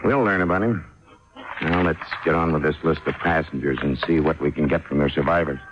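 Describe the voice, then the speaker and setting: An actor playing a weary, gruff state police captain in a radio drama, terse and businesslike. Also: male voice, very deep voice, a little fast. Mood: brisk, commanding. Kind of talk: 1950s radio drama acting. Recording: dull, faintly noisy old radio recording.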